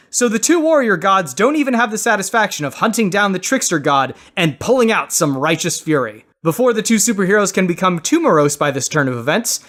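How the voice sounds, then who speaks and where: A man with a high, very animated voice plays podcast moderator. He delivers scripted comic narration in a mock-grandiose, theatrical way, swinging widely in pitch.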